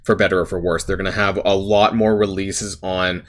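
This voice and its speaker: Rambling man talking games, a bit raspy. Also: low voice, level tone, moderate pace, a bit bright. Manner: rambling, many fillers and self-corrections. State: slightly raspy voice. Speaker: gaming channel host. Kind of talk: casual gaming commentary to camera.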